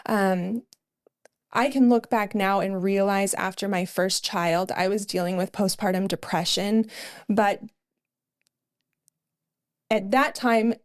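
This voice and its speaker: Middle-aged woman, mid-pitched voice, earnest, telling her story with lots of ums. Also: steady tone, slow, long pauses. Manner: earnest storytelling, frequent fillers. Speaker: podcast co-host. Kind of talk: personal testimony conversation.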